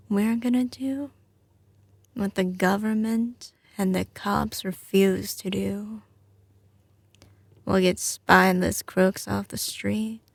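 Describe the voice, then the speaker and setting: A woman's voice: mid-range voice, creaky, breathy edge, dry recording, full character voice. A woman playing a doting, confident mafia heiress girlfriend in an intimate ASMR roleplay, with a slight Southern US drawl and a tender, assured, often whispery delivery. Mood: determined.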